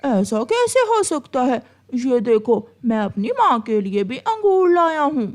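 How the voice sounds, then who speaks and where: A woman's voice: high-pitched, big pitch swings, measured pace, darkish tone. A woman voicing a naive, cheeky young boy crow in a children's cartoon, boastful and childlike. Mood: happy. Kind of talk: children's animal cartoon voice acting.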